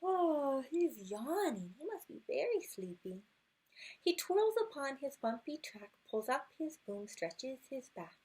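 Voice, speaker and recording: A woman reading a rhyming children's picture book aloud expressively, unhurried, with hushed moments and little asides. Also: high-pitched, rough recording. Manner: expressive, unhurried, drops to hushed whispers at good nights. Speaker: storytime reader.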